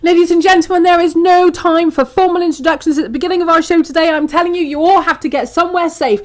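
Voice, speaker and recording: A woman playing an excitable, urgent female character in a fan fiction audio story. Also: extremely high pitch, quick pace, soft background music.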